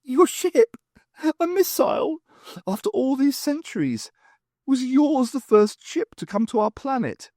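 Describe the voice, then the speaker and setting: Very high-pitched earnest little alien. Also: very animated, unhurried, dark tone, dry recording, studio quality, heavily acted. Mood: astonished. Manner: literal, emphatic, questioning. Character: Thu, a small, earnest, wistful alien being, male.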